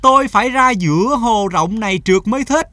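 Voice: mid-range voice, expressive, fairly quick, pushed and bright. An actor voicing a stubborn, boastful young horse in a cartoon dub, with music under the voice. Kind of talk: cartoon dubbing.

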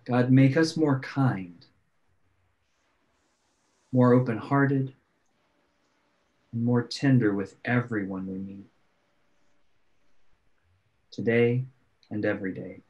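A man who is an interpreter, voicing prayers in a measured, solemn way over a video call. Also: mid-range voice, fairly even intonation. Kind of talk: reading prayers aloud. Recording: dull lo-fi video call audio.

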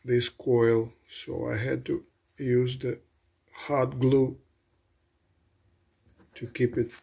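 A man talks casually through hands-on repair work at his bench. His voice is middle-pitched and a little husky, and he takes his time. The delivery is halting and matter-of-fact, like an informal hobby video. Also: flat delivery, mellow. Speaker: hobbyist radio restorer. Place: indoor home electronics workbench.

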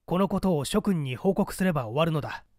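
A stern male underground agent acted out by a male reader issues formal, clipped orders in an audiobook drama. His voice is fairly high and moves widely in pitch, with an imperious, authoritarian edge. The sound is close and dry. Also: expressive, fast talker, darkish tone, no echo, close to an everyday voice. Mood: formal, commanding. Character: stern, commanding male secret-party courier in a mask.

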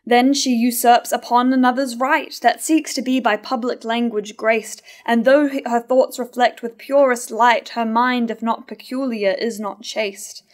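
Tragic chorus, morally stern, played by a young woman, higher-pitched, reading verse on stage. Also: steady tone, unhurried, hardly any pauses, lightly acted. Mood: moralising, measured. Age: young adult. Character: moralising chorus judging a wife's virtue. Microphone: lectern microphone.

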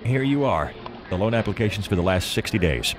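Man playing deep-voiced affable bank manager. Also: lively intonation, fairly quick, very noisy, lightly acted. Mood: businesslike. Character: loyal, businesslike male bank manager, obliging and proud. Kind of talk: audio drama acting.

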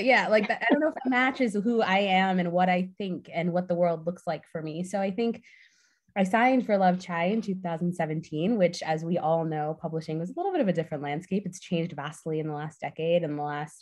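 A woman's voice: lowish voice, brisk. A young woman raised in the US, an author speaking earnestly and a bit rambling on a video call panel. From United States. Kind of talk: casual panel chat.

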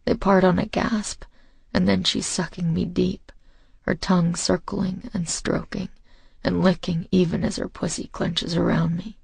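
Man, audiobook romance narration, very breathy rasp, intense, deliberate, close dry sound. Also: sits high, little pitch movement, no echo. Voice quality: husky, breathy, gravelly. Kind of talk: intimate first-person romance narration.

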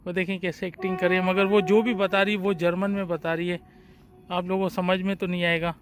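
A man in his forties vlogging to his phone camera on an outdoor train platform at night, in a chatty, relaxed way. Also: high voice, fairly quick. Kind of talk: casual vlog narration. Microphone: phone at arm's length. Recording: faint outdoor background noise.

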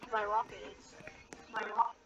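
A woman's voice: mid-range voice, monotone, normal speed, lo-fi sound, over music. A woman chatting casually in a game roleplay as a player. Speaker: second player in a Roblox roleplay.

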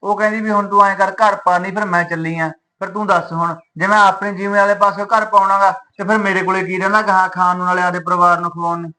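A man's voice: sits high, level tone, fast, hardly any pauses, bright, forceful tone, poor audio. A man playing a young son caught between his wife and his mother, pleading and reasoning with both. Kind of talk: Punjabi family drama acting.

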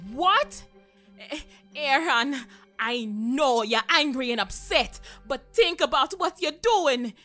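A woman's voice: high-pitched, expressive, slow, bright, forceful tone, faint music behind, full character voice. A woman playing an anxious young mermaid in an audio drama, urgent and pleading with her friend. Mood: horrified, pleading.

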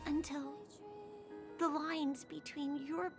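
Delicate young woman in an anime game, fatally ill yet earnest, very high soft voice, clear music bed. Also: flat delivery, slow, full character voice. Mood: somber, hushed. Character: gentle, wistful young woman with a fatal illness. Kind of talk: anime-style video game voice acting. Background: game music behind the voice.

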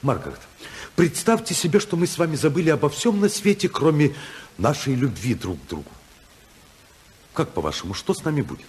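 A man's voice: on the high side, very animated, a little fast. An actor playing an idealistic congressman torn by love, earnest and oratorical in a 1950s radio drama style. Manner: earnest, oratorical, swinging from hushed to impassioned.

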